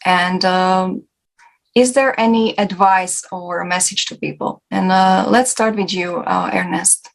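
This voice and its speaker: A woman hosting a discussion, speaking off the cuff in short, halting phrases. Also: on the low side, fairly even intonation, vocal fry. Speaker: discussion host. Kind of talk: unscripted panel moderating.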